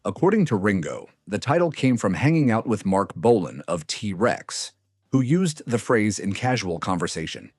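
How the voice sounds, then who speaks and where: A man's voice: lowish voice, very animated, moderate pace, a little mellow, slightly rough, no room sound, studio quality. A man reading a scripted music countdown as its narrator.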